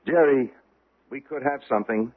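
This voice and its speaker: An actor playing a driven, excitable policeman with clipped, commanding, intense delivery in an old-time radio drama. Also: mid-range voice, moderate pace, phone-quality sound, close to an everyday voice. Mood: brisk, urgent. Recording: thin, faintly noisy old radio broadcast.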